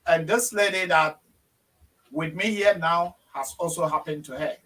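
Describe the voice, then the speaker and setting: A Ghanaian man hosts a relaxed online interview in a bright, fairly high voice. He talks unhurriedly, pausing often with fillers. He sits a little back from the microphone. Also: distant mic. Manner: warm, slow, hesitant with many fillers. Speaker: host. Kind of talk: casual video interview.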